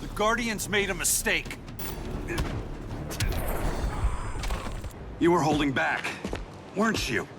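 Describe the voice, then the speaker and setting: Game hero: earnest, guilt-worn speedster, highish animated voice, loud music underneath. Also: male voice, moderate pace. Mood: weary, distrustful. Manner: wry, earnest. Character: weary, guilt-ridden young male speedster superhero. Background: loud dramatic music.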